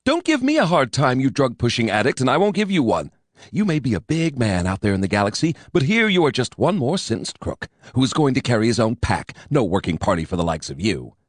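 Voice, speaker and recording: A man's voice: medium pitch, big pitch swings, a little fast, no room sound, studio quality. A man voicing a bullying young male naval officer who scolds a prisoner with contempt. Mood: sneering.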